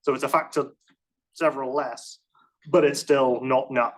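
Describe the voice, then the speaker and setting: A man's voice: mid-range voice, steady tone, moderate pace. A man answering questions after a conference talk in a lecture room, speaking casually with frequent restarts and self-deprecating asides. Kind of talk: technical Q&A, casual and hedging.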